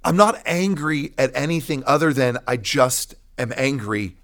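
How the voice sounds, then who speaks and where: A man, a pastor, telling his personal testimony in conversation as a guest, emphatic, with deliberate pauses and repeated phrases. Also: mid-range voice, animated, a bit bright.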